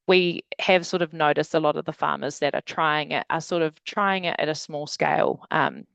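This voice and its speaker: A woman researcher wraps up her webinar segment over a video call. She has a gravelly, creaky tone, and the recording sounds dry and close. Her delivery is hesitant and full of fillers. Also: deep voice, a bit bright, dry recording. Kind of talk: webinar presentation.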